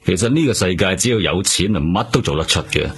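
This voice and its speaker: A man's voice: low-pitched, animated, fast, fairly bright tone, husky, heavily acted. A man playing a chatty, cynical police detective in a radio drama, speaking colloquially with a Hong Kong Cantonese accent. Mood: worldly, sceptical. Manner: colloquial, tag questions and particles. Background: music.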